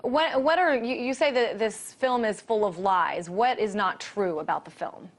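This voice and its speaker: An American woman hosting a conversational news interview, speaking in a measured, questioning and hedging manner. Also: mid-range voice.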